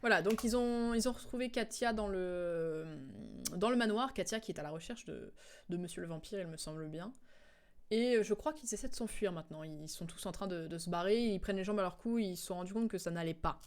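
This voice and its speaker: A young woman streaming a video game and chatting casually over it. She has a mid-pitched voice and talks in a playful, teasing, slangy way. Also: fairly quick. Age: young adult.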